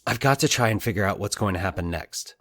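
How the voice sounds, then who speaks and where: A man voicing an anxious, excitable male character thinking aloud in halting, agitated bursts in an audio drama. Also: fairly low pitch, dry recording. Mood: urgent, reasoning. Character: Mike, anxious excitable man puzzling out eerie coincidences. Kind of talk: dramatic audio fiction monologue.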